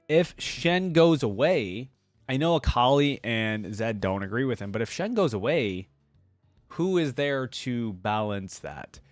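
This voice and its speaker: A man's voice: medium pitch, dry recording. A man giving casual, informal commentary to viewers as a reaction host, rambling and thinking aloud. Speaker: gaming reaction YouTuber, host.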